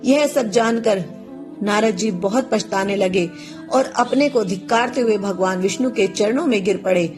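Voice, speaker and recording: A woman narrates a devotional scripture tale from a script. Her voice sits at a middle pitch, with music faintly behind it. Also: moderate pace.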